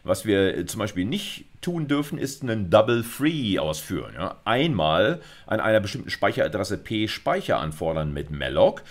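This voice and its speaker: Low-voiced middle-aged man lecturing. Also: sing-song, moderate pace, few pauses. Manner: conversational, often checks in with short tags. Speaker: university computer science lecturer. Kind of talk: explanatory video lecture.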